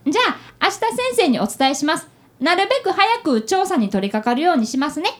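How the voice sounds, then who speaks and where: A woman's voice: sits high, sing-song, fairly quick. A woman playing an earnest, polite boy of about eleven, an apprentice detective in a radio drama. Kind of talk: radio drama acting and narration.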